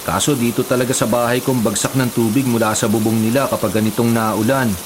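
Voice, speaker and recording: A man acts a patient Filipino younger brother in a radio drama. His voice is medium in pitch, mild and respectful with a put-upon grumble. Loud-ish construction or rain effects are clearly heard behind him. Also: steady tone, a little fast, noisy background, natural voice. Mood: resigned, explaining.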